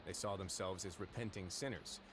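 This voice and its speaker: Low-voiced, composed duke played by a man. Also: steady tone, brisk, background noise, lightly acted. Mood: solemn. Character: calm, wry male duke ruling an underwater prison fortress. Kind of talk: scripted video game dialogue and storytelling.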